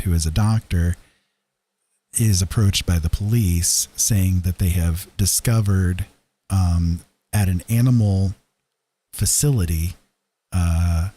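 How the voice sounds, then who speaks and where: Low, mellow, husky male streamer. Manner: self-deprecating, hesitant. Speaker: livestream host. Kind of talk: casual rambling chat to viewers.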